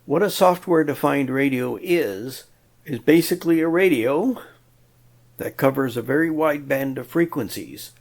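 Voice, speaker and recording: A man's voice: medium pitch, expressive, normal speed. A man giving an explanatory technical narration in a methodical, plain-spoken way with a touch of dry humour. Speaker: presenter of an electronics tutorial.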